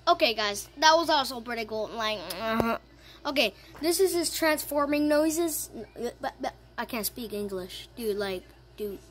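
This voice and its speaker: A high-voiced video creator presenting a homemade vlog in an informal, hesitant way. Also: expressive, a little slow, fairly bright tone, a little hiss or hum. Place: family home.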